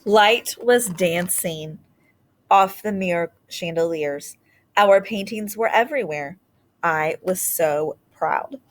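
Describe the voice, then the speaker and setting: A woman reading a children's story aloud as a storybook narrator. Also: fairly low pitch. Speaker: storybook reader.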